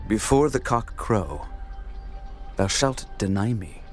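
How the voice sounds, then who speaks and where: An actor playing a calm, solemn male teacher who speaks in measured tones, in a dramatized scripture reading with music behind. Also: fairly low pitch.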